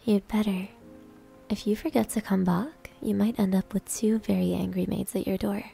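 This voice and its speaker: A woman playing a proud, easily flustered maid with a hidden soft side in an ASMR roleplay, breathy and often whispering. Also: medium pitch, steady tone, moderate pace, faint music behind. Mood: coy, insistent. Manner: coy, grudging, flustered.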